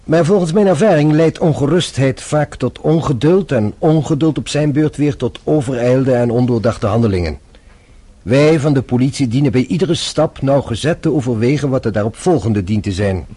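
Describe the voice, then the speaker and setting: A man plays a patient French police inspector in a radio drama. He speaks in a warm, husky voice, formal and measured, always politely probing. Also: mid-range voice, lightly acted. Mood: courteous, measured. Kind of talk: radio play acting.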